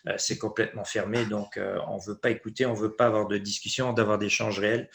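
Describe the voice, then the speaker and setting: Animated francophone man from Luxembourg. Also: fairly low pitch, brisk, vocal fry. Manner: animated, emphatic, laughs while talking. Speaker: interview host. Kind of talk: conversational interview.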